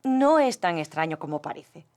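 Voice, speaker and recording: A woman's voice: lowish voice, big pitch swings, normal speed, darkish tone. A woman playing a composed academic psychologist, explaining articulately and patiently in a scripted expert interview. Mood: didactic, composed.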